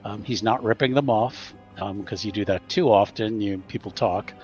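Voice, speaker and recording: A man's voice: lowish voice, normal speed, a little mellow, lo-fi sound, soft background music. A man casually improvising what his roleplaying character has been doing, hesitant and understated. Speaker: tabletop roleplaying player.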